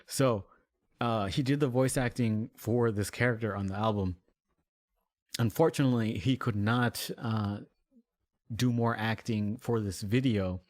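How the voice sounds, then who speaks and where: A man's voice: lowish voice, measured pace, no room sound, clean studio sound. A man giving casual commentary on his own video, rambling with many fillers and laughing easily. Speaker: musician and video maker.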